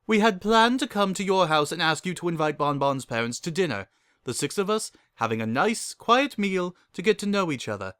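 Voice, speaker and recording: A man voicing a prim, sharp-tongued middle-aged unicorn noblewoman in a storybook, haughty and reproachful. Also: high voice, sing-song, normal speed, clear, resonant tone, no room sound, voice pushed up.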